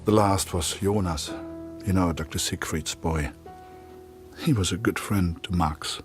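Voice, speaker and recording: Deep-voiced, solemn soldier narrator. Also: male voice, over music. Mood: grieving, reflective. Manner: solemn, measured. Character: grave, weary German soldier, a father narrating. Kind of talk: scripted dramatic narration, letter-like.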